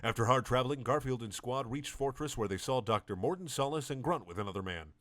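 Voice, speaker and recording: A young man giving a deadpan comedic reading of a story, often stumbling over the words. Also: mid-range voice, fast, dry recording. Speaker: reader of a comedic fan story.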